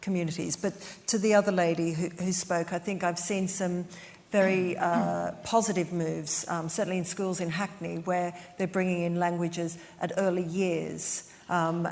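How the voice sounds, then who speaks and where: A woman chairing a conference panel, answering briefly and briskly calling on audience members. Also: lowish voice, creaky, a little mellow. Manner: brisk, directs questions to the audience. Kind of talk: moderating a panel. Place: lecture hall. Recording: long hall reverb.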